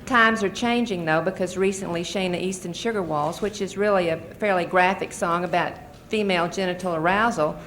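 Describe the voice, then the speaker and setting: An American woman in middle age speaks as a witness at a formal hearing. Her voice is on the low side and her delivery is earnest and explanatory. The archival hearing-room recording has faint noise. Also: brisk, hardly any pauses, a bit bright. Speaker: advocacy group witness and mother. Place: Senate committee hearing room.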